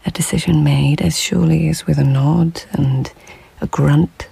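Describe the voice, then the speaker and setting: An actress playing a reflective court lady of old Japan, narrating in a measured, poetic, breathy near-whisper for a radio drama. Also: low voice, fairly even intonation, mellow, heavily acted. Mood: quiet, contemplative. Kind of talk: intimate audio drama narration.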